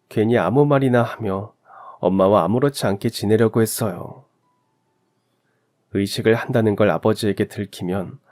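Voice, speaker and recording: A man's voice: on the low side, a little mellow. A man reading a scripted first-person story in the style of a radio drama. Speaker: narrator of a story channel.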